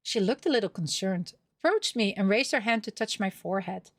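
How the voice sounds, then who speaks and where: A woman's voice: mid-range voice, lively intonation, a little fast, dry recording, studio quality. A woman reading a visual novel aloud as a gaming host, with casual commentary of her own.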